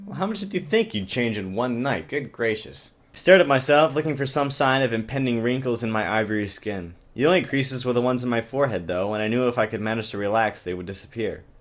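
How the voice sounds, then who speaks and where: A man with a mid-pitched voice performs a mocking reading of a novel. He is theatrical and exaggerated, dropping sarcastic comments as he goes. It sounds like an amateur webcam recording. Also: lively intonation, fairly quick, hardly any pauses. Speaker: amateur reader and comic commentator. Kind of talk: mocking dramatic book reading with sarcastic asides.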